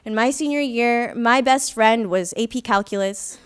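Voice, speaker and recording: A woman's voice: on the high side, moderate pace, slight background noise. A young woman from the Philippines giving an earnest, reflective personal testimony at a conference, with light self-deprecating humor. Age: young adult. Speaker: student activist speaker. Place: conference hall.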